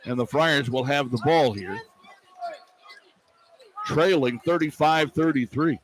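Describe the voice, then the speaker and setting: High-pitched male basketball play-by-play announcer. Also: takes it slowly. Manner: clipped running phrases, stretched words on big plays. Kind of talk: live basketball play-by-play commentary. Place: indoor basketball arena. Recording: arena noise behind a live broadcast. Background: arena ambience.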